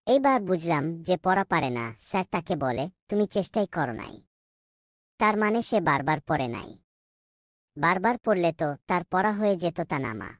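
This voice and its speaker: A woman's voice: on the low side, sing-song, normal speed, no echo, studio quality. A woman playing an earnest, curious young schoolboy in a cartoon, eager and questioning. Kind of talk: cartoon voice acting.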